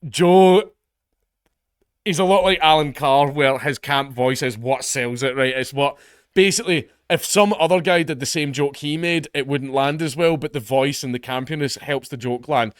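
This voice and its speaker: A man's voice: normal speed, clean tone, no room sound, clean studio sound. A Scottish man commenting on an article in a casual, sarcastic, sweary way, mocking the people he quotes. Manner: reads article passages in a lower, quieter voice. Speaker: online commentator.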